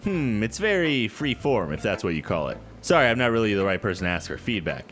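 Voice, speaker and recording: Male gaming host reading a visual novel: lowish voice, animated, casual, light music in back. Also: fairly quick. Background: soft background music.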